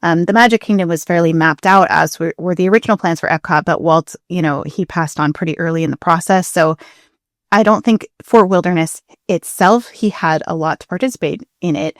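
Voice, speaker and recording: A woman's voice: on the low side, fairly quick, no echo, studio quality. A woman co-hosting a podcast, explaining things in a casual, chatty, informal way with interest in the details. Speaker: podcast co-host.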